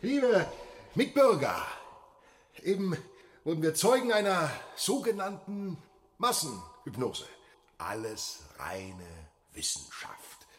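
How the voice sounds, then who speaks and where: An actor playing a pompous, anxious variety-show master of ceremonies, declaiming in a radio drama. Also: male voice, on the high side, sing-song, slow, pauses often, slight background noise. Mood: forced cheer.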